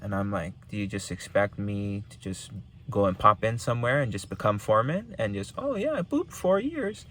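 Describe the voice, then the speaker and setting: A man's voice: on the low side, big pitch swings, moderate pace, a bit bright, a little hiss or hum. A young man from Hawaii with a Hawaiian accent, giving casual, rambling business advice with lots of ums and repeats.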